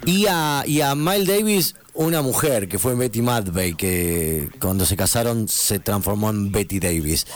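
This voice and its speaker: A man's voice: on the low side, expressive, measured pace, hardly any pauses. A man from Argentina, hosting a radio interview, chatty and prone to digressions. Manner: chatty, digressive, many backchannels. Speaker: radio host.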